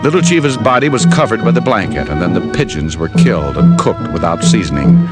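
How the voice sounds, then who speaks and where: An actor playing a wry, hard-boiled private detective who narrates an old radio drama in a dry, measured way. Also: male voice, fairly low pitch, sing-song. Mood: narrating. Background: dramatic music score.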